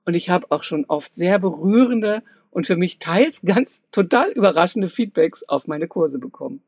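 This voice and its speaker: A woman's voice: fairly low pitch, expressive, moderate pace, a little mellow, no echo. A woman who works as a voice coach, giving an enthusiastic podcast monologue of business tips. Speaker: voice coach for singers.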